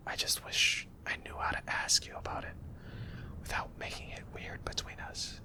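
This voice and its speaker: A man whispering a tender, hesitant confession as a boyfriend in an ASMR roleplay. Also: moderate pace.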